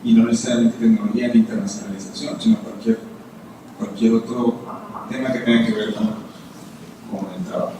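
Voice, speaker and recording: A man's voice: on the low side, steady tone, brisk, long pauses. A man from Mexico giving a conversational lecture as a guest speaker, putting questions to his audience. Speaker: guest speaker, business consultant. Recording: echoey hall, distant mic, background noise.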